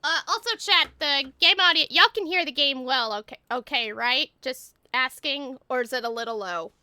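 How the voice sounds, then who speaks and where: A woman chatting casually and a bit scatteredly to viewers as she livestreams a game, excitable in manner. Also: sits high, normal speed, clear, resonant tone, no echo. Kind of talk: casual livestream chat while gaming.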